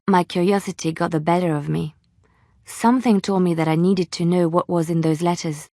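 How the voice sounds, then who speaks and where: An actress playing a wistful female bard and former spy in a video game, with a gentle, measured, storytelling way of speaking. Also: on the low side, no echo, clean studio sound, lightly acted. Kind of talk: video game character dialogue.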